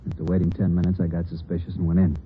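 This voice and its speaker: A factual officer, played by a man reports quickly in a very deep voice. The sound is a tinny old broadcast with faint hiss. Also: completely flat delivery, natural voice. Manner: clipped and factual. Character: male guard officer reporting. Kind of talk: 1950s radio drama acting.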